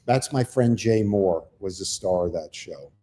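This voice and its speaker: An older man with a fairly low, dark, mellow voice talks in a relaxed, chatty way. He drifts through long, self-deprecating stories, one after another. The sound is of a comedian trading stories with a co-host. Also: unhurried. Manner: rambling anecdotal storyteller, self-deprecating. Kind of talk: casual chat and storytelling.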